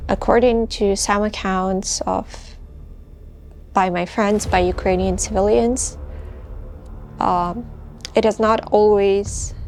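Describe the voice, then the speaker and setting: A young woman from Russia in her twenties, answering interview questions in an earnest, hesitant way with many pauses and fillers, recorded outdoors on a campus. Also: mid-range voice, creaky, a little mellow. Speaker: master's student speaking about a protest.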